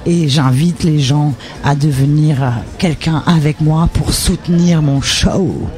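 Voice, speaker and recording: Chatty french woman on festival radio, mellow darkish voice, lively and familiar. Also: low voice, slow. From France, north Charente. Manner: lively, talkative, switches to familiar tu. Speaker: festival performer and guest. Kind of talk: casual radio interview chat.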